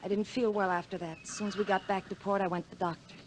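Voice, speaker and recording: A woman acting a worried, devoted wife in a 1950s radio drama, speaking earnestly and gently. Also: mid-range voice, fairly even intonation, fast talker, natural voice. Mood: confessing. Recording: noisy vintage 1950s radio broadcast.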